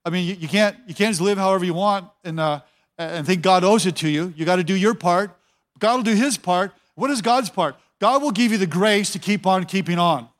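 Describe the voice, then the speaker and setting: Man, pastor, sermon, fairly high voice, conversational, repeats himself, tells listeners to watch. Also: a bit bright.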